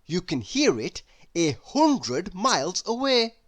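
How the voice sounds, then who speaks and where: A storybook narrator reading a children's tale aloud in an animated, expressive way. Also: medium pitch, slow. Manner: animated, expressive, with emphatic sound words. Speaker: storybook reader.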